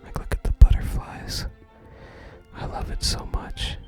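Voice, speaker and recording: A person whispering soft, unhurried ASMR commentary while playing a video game and reading out its text. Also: over music.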